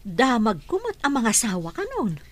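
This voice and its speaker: A woman's voice: fairly high pitch, sing-song, normal speed. A woman acting a nosy, easily amazed village gossip in an Ilocano-accented radio drama, chatty and exclamatory. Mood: intrigued.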